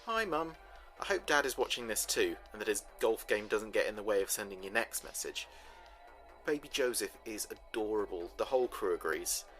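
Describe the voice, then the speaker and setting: A man playing an earnest, uneasy spaceship communications officer, recording a halting, deliberate mission log in a sci-fi audio drama. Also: fairly low pitch, lively intonation, a bit bright, faint music behind. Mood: forced cheer, unease. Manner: halting, deliberate, pausing mid-sentence. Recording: clear background noise and ambience.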